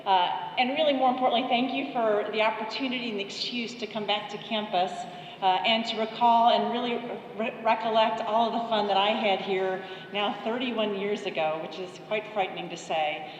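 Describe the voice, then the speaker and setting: A woman's voice: mid-range voice, steady tone, brisk, a little hiss or hum. Woman in her fifties speaking in a hall. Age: 50s. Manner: conversational, informal address. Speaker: commencement guest speaker. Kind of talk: ceremony speech. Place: large ceremony hall. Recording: echoey, dull hall recording.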